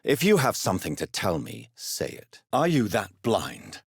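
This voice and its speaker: Grave vampire doctor for a video game, low husky voice, very formal and earnest, lots of rise and fall. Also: male voice, huge pitch swings, unhurried, no echo, clean studio sound, exaggerated voice. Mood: stern, intense. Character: grave, courteous, troubled gentleman doctor turned vampire. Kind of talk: dramatic video game dialogue.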